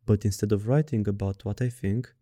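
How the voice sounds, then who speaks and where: Romanian-accented man, mellow, reading a script. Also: fairly low pitch, no room sound, studio quality. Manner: reads a prepared script in an even, deliberate way. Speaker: podcast host. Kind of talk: scripted podcast monologue.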